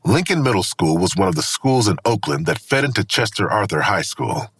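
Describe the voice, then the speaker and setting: The narrator of a crime audio drama. His voice sits very low with a strongly husky grain. He tells the story gravely but with lively melody. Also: a little fast, dry recording. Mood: measured, grave.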